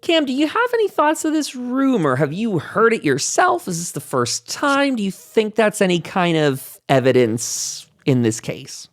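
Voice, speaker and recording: A man's voice: sits high, huge pitch swings, moderate pace, hardly any pauses, no room sound. A man hosting a gaming roundtable in a chatty, enthusiastic way, rambling off into asides. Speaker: host of a gaming roundtable show. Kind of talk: casual panel discussion.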